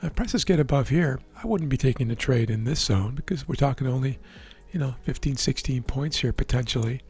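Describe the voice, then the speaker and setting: A man whose voice is husky and hoarse, as if worn down from talking. He walks through the market in a relaxed, explanatory way, at a middling pitch, with faint music in the background. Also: fairly quick. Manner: relaxed, explanatory, checks in with "right?". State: hoarse, losing his voice. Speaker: trading educator. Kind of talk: live market commentary over a chart screen.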